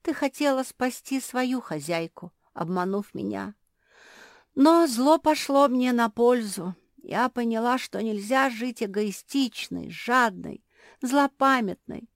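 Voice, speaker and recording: A woman voicing a gentle, sorrowful young puppy turned dragon in a storybook, with heartfelt, drawn-out delivery. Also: on the high side, dry recording, clean studio sound, voice pushed up.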